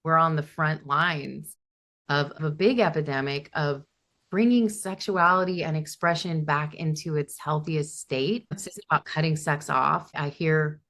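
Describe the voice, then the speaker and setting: A woman's voice: deep voice, measured pace, a bit bright. A woman therapist speaking as a podcast guest, earnest and enthusiastic, often restarting her phrases. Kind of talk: conversational interview.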